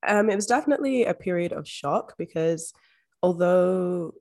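A woman's voice: fairly low pitch, animated, normal speed, darkish tone. Reflective young Scottish woman. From Scotland. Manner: open, reflective, uses "like" often. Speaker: podcast guest, mindset coach. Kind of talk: casual interview talk.

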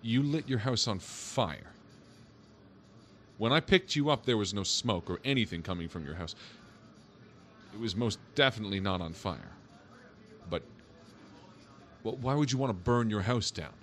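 An actor playing a skeptical, wry police officer in an audio drama, questioning in a casual, doubting, placating way. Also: male voice, fairly low pitch, animated, normal speed, long pauses, fairly bright tone. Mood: incredulous, puzzled. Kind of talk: audio drama acting, naturalistic.

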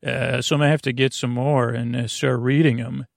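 A man's voice: mid-range voice, little pitch movement, a little fast, creaky, no echo, clean studio sound. A man hosting a bedtime podcast, rambling in a goofy, meandering way with many fillers and restarts. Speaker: podcast host.

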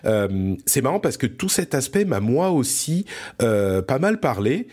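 A man's voice: mid-range voice, sing-song, a little slow, darkish tone, husky. A man from France hosting a podcast, chatting casually about pop culture and often searching for words as he restarts his sentences. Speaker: podcast host.

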